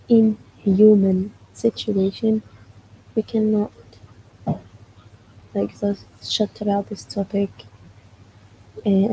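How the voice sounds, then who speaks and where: Slow, gravelly-voiced Palestinian woman. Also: mid-range voice, warm tone, slight background noise, poor audio. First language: Arabic. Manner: explanatory, often asks "you know what I mean?". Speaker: livestreamer. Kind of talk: casual live stream answering chat.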